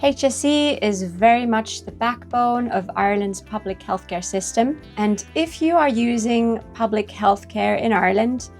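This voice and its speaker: A woman's voice: on the high side, normal speed, few pauses, darkish tone. Woman explaining, music behind. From Finland. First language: Finnish. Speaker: host of an expat advice video. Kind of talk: scripted informational explainer.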